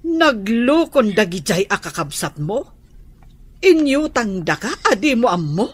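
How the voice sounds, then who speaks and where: A reproachful aunt with an Ilocano accent, asking about money she lent. Her voice is breathy and lively in pitch. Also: takes it slowly, full character voice.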